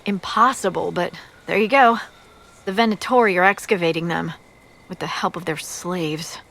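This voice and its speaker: A woman playing a brisk army scout in a video game, with a dry, informal, matter-of-fact manner. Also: on the low side, creaky, fairly bright tone. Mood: businesslike. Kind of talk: video game character acting.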